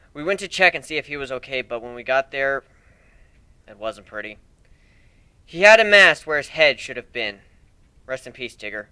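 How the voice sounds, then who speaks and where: A man giving an amateur dramatic reading of a first-person story, expressive and a little unpolished. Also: mid-range voice, clean tone, dry recording. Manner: expressive, animated, with slips and restarts.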